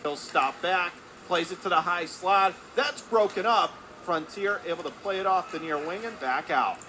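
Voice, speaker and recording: A man's voice: high-pitched, normal speed. A man calling live radio hockey play by play in clipped running phrases, his voice rising with the action. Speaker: play-by-play sports announcer. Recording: constant loud arena background noise. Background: hockey arena ambience.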